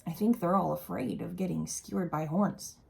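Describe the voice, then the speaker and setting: A woman's voice: lowish voice, gravelly, a little hiss or hum. A woman reading a children's picture book aloud expressively, with casual asides and questions for young listeners. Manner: animated, deliberate, asks the listeners questions.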